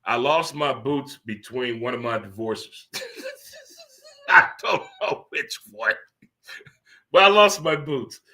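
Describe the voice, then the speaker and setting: A man in his fifties hosting a livestream sports talk show, rambling and animated, teasing himself and asking "huh?". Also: medium pitch, slow pace, long pauses. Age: 50s. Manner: talks to himself by name. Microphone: streaming studio mic.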